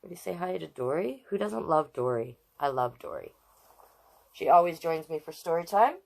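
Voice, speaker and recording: A woman's voice: low voice, expressive, brisk, creaky, darkish tone. A woman hosting a children's storytime, chatting casually with viewers and reading aloud in an exclaiming, direct way. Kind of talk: casual livestream chat and read-aloud to children.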